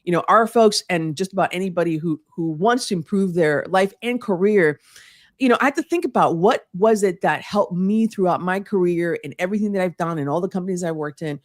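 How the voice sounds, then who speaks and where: A woman's voice: on the low side, brisk, no echo, clean studio sound. A woman from Puerto Rico whose first language is Spanish, giving earnest interview answers full of restarts and "you know", with Spanish phrases mixed in. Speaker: guest speaker.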